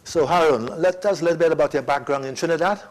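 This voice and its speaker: A man hosting an interview, asking questions in a halting way with many restarts. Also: fairly high pitch. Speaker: interview host.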